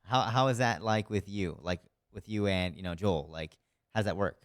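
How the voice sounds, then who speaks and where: A man hosting a casual interview, asking loose, relaxed questions and dropping in short backchannels. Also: low voice, normal speed. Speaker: interviewer host.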